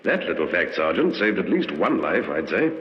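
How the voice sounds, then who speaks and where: A man's voice: sits low, big pitch swings, normal speed, heavy background noise. An actor playing a commanding man in a crime story, on an echoey vintage radio drama recording. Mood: measured. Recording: muffled echoey old radio, loud music.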